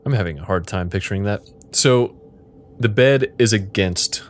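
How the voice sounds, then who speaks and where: A low-pitched man runs a text adventure as game master, speaking to the players in the second person. His delivery is casual, deadpan and playful, recorded with some room noise behind him. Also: normal speed. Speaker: game master and host of an interactive fiction podcast.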